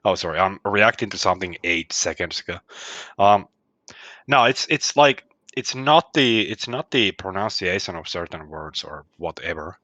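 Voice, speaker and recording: A man's voice: deep voice, animated, normal speed, no echo, dull audio. A man chatting casually, swearing often and joking darkly. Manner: crude, swearing, dark humor. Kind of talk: casual chat.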